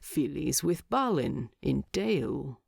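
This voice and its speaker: A woman voicing a gruff, grumbling dwarf king with a dry manner in a narrated story. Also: low-pitched, big pitch swings, takes it slowly, darkish tone, no room sound, exaggerated voice.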